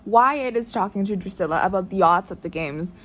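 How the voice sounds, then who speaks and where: Excitable woman with a light Thai accent. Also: mid-range voice, a little fast, a little hiss or hum. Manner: excitable, dramatic, rambling with restarts. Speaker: book vlogger. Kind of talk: casual reading reaction vlog. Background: faint background music.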